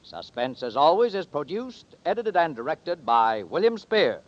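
A male announcer with a fairly high, widely swooping voice delivers formal, theatrical copy in 1940s radio style. The old broadcast sound is dull, with faint music behind. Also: very animated, a little slow.

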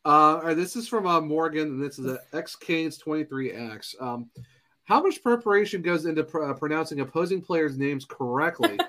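A man's voice: fairly high pitch, moderate pace, pushed and bright. A man in his thirties co-hosting a podcast, bantering casually and reading out listener questions. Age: 30s. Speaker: podcast co-host.

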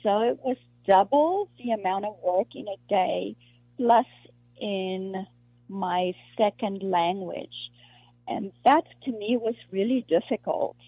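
A woman from South Africa, an actress being interviewed, talking in a halting way with many restarts and fillers. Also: medium pitch, slightly rough, no room sound. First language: Afrikaans. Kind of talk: casual interview conversation. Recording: muffled lo-fi dry recording.

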